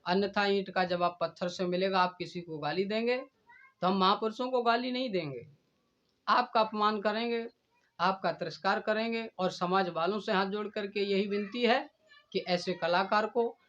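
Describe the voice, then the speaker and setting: A man delivering a stern, deliberate admonishing speech in a preachy manner. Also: high-pitched, a bit bright. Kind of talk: admonishing speech addressed to a rival. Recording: dull, lo-fi recording.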